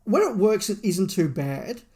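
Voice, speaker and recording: A man's voice: sits high, sing-song, unhurried, warm tone. A man co-hosting a review podcast, going through a story and giving his opinion of it. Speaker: podcast host. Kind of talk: book review discussion, partly reading a plot summary.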